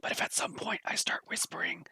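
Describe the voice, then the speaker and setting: A man running a tabletop game as game master, hoarse from a cold and losing his voice. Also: fairly quick, pushed and bright, dry recording, whispered.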